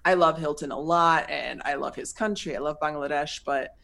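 An American woman with a voice pitched low for a woman. She tells her story animatedly as an interview guest, laughing often. Her talk is full of fillers like "um" and "like.". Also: moderate pace, vocal fry, a bit bright. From United States.

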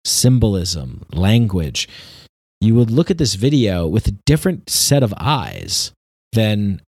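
Male commentator, lowish pitch, dry close sound; earnest off-the-cuff opinion talk with halting, repeated phrases. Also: very animated, a little mellow, husky, dry recording. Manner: halting, repetitive, searching for words. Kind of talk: unscripted opinion monologue.

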